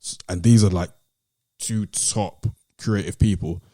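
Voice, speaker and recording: A British man hosting a podcast in casual banter with friends, animated and teasing in manner. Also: deep voice, measured pace, dry recording, studio quality. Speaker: podcast host.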